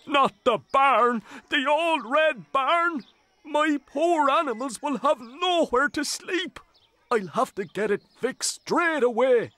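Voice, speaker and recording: Narrator doing cranky old farmer guy, pitched way up, slow and gruff. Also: lively intonation, sharp tone, no echo, heavily acted. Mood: dismayed. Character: grumpy sleepy old farmer man. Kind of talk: storybook character voice.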